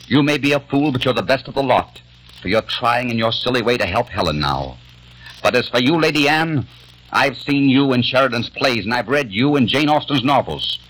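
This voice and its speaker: A man's voice: mid-range voice, fairly bright tone, husky, poor audio. A man in a 1940s radio drama playing a modern man stranded in eighteenth-century London, given to impassioned, bitter outbursts.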